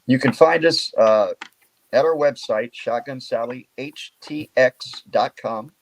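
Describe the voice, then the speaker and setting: A man's voice: medium pitch, slow pace, vocal fry, dry recording, poor audio. A man from Memphis, Tennessee, a guest musician chatting and plugging his shows in a friendly way. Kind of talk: casual interview chat.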